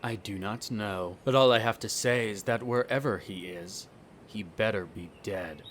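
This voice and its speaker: A man acting a haughty, bitter centuries-old vampire noble in an audio roleplay, speaking in a formal, measured, condescending way. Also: on the low side, fairly bright tone, slight background noise, lightly acted. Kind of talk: scripted roleplay audio drama monologue.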